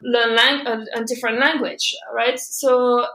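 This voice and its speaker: Woman from Europe, high and bright, laughing often, roomy echo. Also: fairly even intonation, measured pace. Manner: open, laughs often, many fillers and restarts. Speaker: podcast guest. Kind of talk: personal storytelling in conversation. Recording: echoey room.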